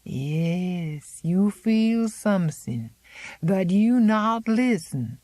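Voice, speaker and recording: A woman reading aloud, voicing a gnarled old woman servant who speaks broken English with French words in a slow, sing-song, ominous way. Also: medium pitch, expressive, warm tone, no room sound.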